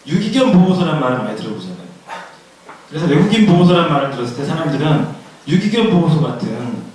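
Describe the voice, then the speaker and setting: Hoarse man lecturing in an echoey room. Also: a little high, sing-song, fast, darkish tone, slight background noise. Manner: plain explanatory, repeats key words for emphasis; asks the audience questions. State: hoarse from a cold. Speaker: forum presenter. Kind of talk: public lecture. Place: forum room. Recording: echoey room, far from the mic.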